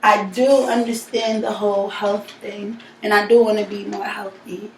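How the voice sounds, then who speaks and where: A woman's voice: mid-range voice, little pitch movement, normal speed. A woman, talking casually and earnestly to the camera. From New York City. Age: 20s. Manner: earnest, heartfelt, talks over her partner. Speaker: aspiring musician. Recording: echoey room from far off with faint noise.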